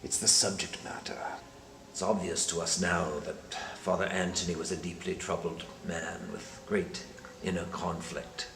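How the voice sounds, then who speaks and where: An older man playing a grave, guarded, pious cardinal in a period detective drama, speaking in a formal, measured way. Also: low voice, level tone, a bit bright. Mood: guarded, solemn. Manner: formal, measured, hesitant, sanctimonious. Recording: echoey room with faint noise.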